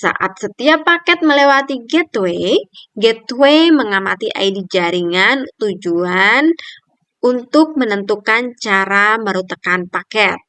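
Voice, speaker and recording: Slow, careful woman presenting. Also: medium pitch, expressive, bright, forceful tone, clean tone, no room sound. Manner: careful, reading point by point. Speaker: student presenter. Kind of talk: scripted article review presentation.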